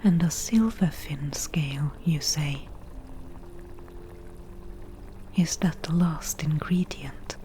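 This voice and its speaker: A woman playing a crafty, slightly defensive forest witch in an ASMR roleplay, speaking in a soft breathy whisper with measured, dry delivery. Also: deep voice, slight background noise. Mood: breezy, confident. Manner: slow, measured, matter-of-fact with dry asides. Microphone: close ASMR microphone.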